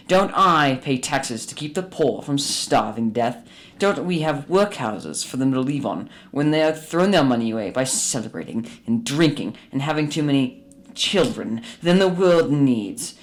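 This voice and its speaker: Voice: mid-range voice, close to an everyday voice. An actor playing a bitter, miserly old businessman who grumbles and scolds curtly in a radio drama.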